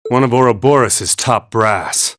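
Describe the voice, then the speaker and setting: An actor playing a gruff, level-headed adult male adventurer in a fantasy video game, with a wry manner. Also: mid-range voice, measured pace, background noise, natural voice. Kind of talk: English video game voice acting.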